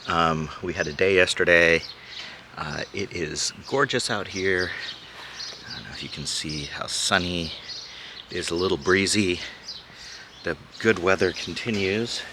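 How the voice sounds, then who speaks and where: Male vlogger, very deep with a fry-heavy rasp, talks things through loosely and gets firm on opinions; breezy rural outdoor recording with birdsong. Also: a little slow, long pauses. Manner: rambling, thinking aloud; strident when arguing a point. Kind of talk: casual morning vlog chat. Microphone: handheld camera held close. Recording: outdoor wind and birdsong behind.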